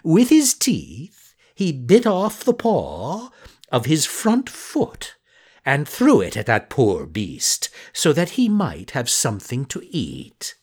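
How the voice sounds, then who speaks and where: A man's voice: mid-range voice, huge pitch swings, takes it slowly, a little mellow, husky, pitched above the performer's own voice, heavily acted. A man voicing a sly, scheming male fox in a storybook reading, drawn out and theatrical.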